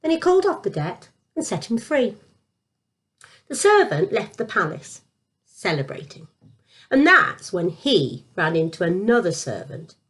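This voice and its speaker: A woman reading a scripted Bible story for children, with deliberate emphasis. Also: medium pitch.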